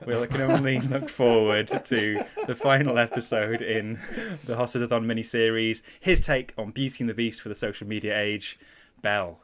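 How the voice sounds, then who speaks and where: A man with a Mancunian accent hosting a film podcast in casual chat, relaxed and enthusiastic, laughing easily. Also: medium pitch, normal speed, few pauses. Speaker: podcast host. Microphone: headset.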